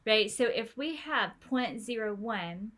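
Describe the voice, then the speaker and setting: A woman's voice: on the high side, expressive, slow pace, a bit bright. A woman coaching an online study group, talking in a chatty, encouraging way full of fillers and restarts over a video call. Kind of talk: online tutoring session.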